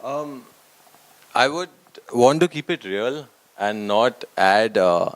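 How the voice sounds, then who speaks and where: A man's voice: medium pitch, lively intonation, takes it slowly. A man, a documentary filmmaker answering interview questions conversationally. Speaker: documentary director and writer.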